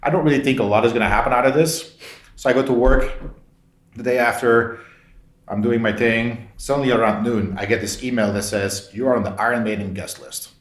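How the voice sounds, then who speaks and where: A man's voice: medium pitch, fairly quick. A man from Belgium, a podcast guest telling anecdotes casually and informally, re-enacting conversations as he goes. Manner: informal, re-enacts dialogue with frequent "like". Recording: roomy, echoey sound.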